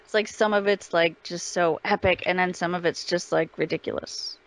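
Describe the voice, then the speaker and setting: A woman's voice: on the low side, fairly even intonation, normal speed, slight background noise, poor audio. A woman chatting casually as an interview guest, agreeable in manner. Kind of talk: casual chat.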